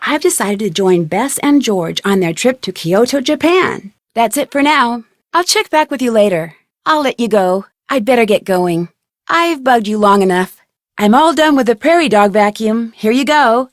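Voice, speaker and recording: A woman performs a young female amateur detective for a video game. Her voice sits a little high and is recorded very dry. She speaks in an earnest, upbeat and inquisitive way, polite with everyone she questions. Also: animated, moderate pace, no room sound, natural voice. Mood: bright, narrating. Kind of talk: scripted adventure game dialogue.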